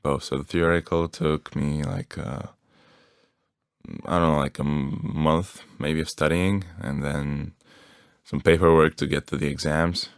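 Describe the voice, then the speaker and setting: Very deep gravelly young man. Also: measured pace, no room sound, clean studio sound. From Bulgaria. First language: Bulgarian. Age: young adult. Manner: relaxed, laughs often, restarts phrases. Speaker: guest. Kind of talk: casual podcast chat.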